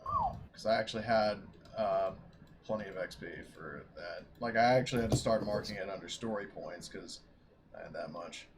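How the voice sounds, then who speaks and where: A man chatting casually as a tabletop game master, speaking haltingly as he thinks aloud. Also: fairly low pitch, expressive, noisy background. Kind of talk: casual post-game chat.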